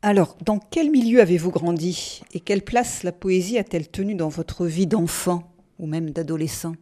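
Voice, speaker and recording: A woman hosting a radio interview, reading a prepared introduction of her guest in a measured way. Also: on the low side, mellow. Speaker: radio host.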